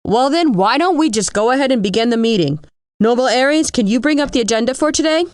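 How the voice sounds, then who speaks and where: A woman's voice: fairly high pitch, expressive, brisk, clean tone, no room sound, studio quality, natural voice. An actress playing a shrewd, skeptical, assertive female general in an audio drama, with a pointed, formal manner. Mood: brisk, confident. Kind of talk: scripted audio drama acting.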